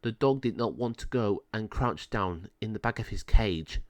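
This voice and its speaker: A man's voice: fairly low pitch, expressive, moderate pace, no echo, studio quality. A man reading a children's story aloud as narrator, in a simple, scripted storytelling style.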